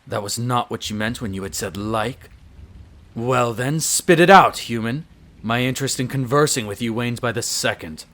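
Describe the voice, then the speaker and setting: A man's voice: mid-range voice, normal speed, fairly bright tone, close to an everyday voice. Man acting as a haughty, proud vampire lord. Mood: haughty, irritated. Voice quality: haughty, clipped formal diction. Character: proud, haughty male vampire heir, flustered and imperious. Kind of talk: audio roleplay acting.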